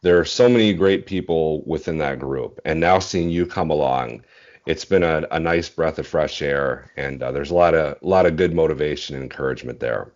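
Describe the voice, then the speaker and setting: A man in his forties with a very deep bass voice, speaking modestly and a little haltingly in a casual interview over a video call. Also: a little mellow. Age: 40s. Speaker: interview guest, bass singer. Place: small indoor room at home. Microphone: earbud headset on video call. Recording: dull, muffled video-call audio.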